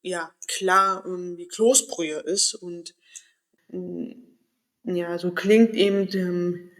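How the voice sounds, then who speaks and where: A man's voice: high-pitched, steady tone, very slow, fairly bright tone. A man talking candidly in a personal vlog, thinking aloud and searching for words as he goes. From Berlin area, Germany. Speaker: vlogger. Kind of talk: personal reflective monologue.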